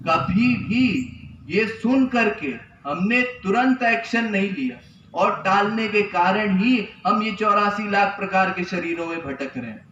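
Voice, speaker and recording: A young man gives an earnest sermon, driving his points home with repetition and rhetorical questions. His voice sits high. The recording is distant and dull, with the echo of a temple hall around him. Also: fairly bright tone, slight background noise. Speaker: spiritual preacher. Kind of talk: Hindi religious discourse. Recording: echoey, distant, dull lo-fi sound.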